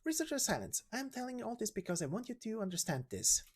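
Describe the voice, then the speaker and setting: A man's voice: high-pitched, sing-song, quick pace, breathy edge, voice pushed up, full character voice. A man acts out a cheery, scheming young woman from a game story. The voice sits raised and lilts widely. The manner is breezy and sly, with a teasing, lecturing edge.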